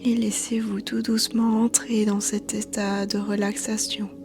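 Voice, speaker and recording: A woman yoga teacher guiding a meditation in a soothing, hushed, breathy voice. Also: medium pitch, flat delivery, measured pace. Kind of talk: guided meditation narration. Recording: soft background music. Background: quiet music.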